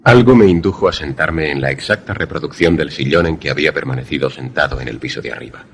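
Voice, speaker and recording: A man playing a polite, inquisitive visitor who narrates and converses in a suspense radio play, measured and formal. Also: very deep voice, creaky, a little hiss or hum. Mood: measured, suspenseful. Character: inquisitive male visitor narrating an eerie tale, polite, sceptical.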